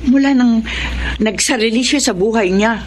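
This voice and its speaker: Radio drama role: actress as resentful, stubborn sister, slightly high voice, clearly noisy ambience. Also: female voice, normal speed, natural voice. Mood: resentful, dismissive. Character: resentful, stubborn sister of a runaway young woman. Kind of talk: Tagalog radio drama acting.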